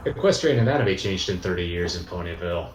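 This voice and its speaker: A man's voice: low-pitched, animated, fast talker, noisy background. A man making casual, sarcastic jokes as a panelist in a group reaction. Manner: joking, sarcastic.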